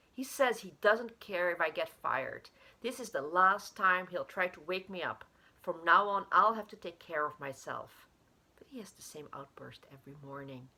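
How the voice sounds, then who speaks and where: Husky lady reading her short story out loud, low voice, pitch moves around a lot.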